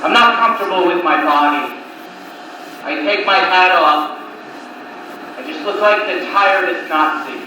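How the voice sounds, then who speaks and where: Noisy cassette recording, male stand-up comedian, very slow deliberate delivery, long pauses, live venue. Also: high voice. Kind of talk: live stand-up comedy set of one-liners. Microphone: recorded from a distance on cassette recorder. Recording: noisy cassette tape, echoey room, distant mic.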